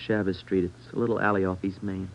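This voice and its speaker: A man's voice: deep voice, flat delivery, quick pace, vocal fry. An American man acting a cornered young gang leader, defiant, sullen and evasive, in a vintage radio crime drama. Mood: evasive, reluctant. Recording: dull, lo-fi old radio recording.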